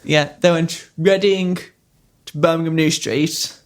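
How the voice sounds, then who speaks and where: A man's voice: fairly high pitch, fairly even intonation, measured pace, clear, resonant tone. A man chatting casually on a podcast, telling rambling anecdotes with a laugh here and there. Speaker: podcast co-host. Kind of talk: casual storytelling.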